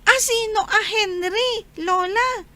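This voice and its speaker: A curious, innocent young child , voiced by a woman, in an Ilocano radio drama. The voice is extremely high and bright, with a childlike, questioning delivery. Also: slow pace, heavily acted. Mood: curious, wistful.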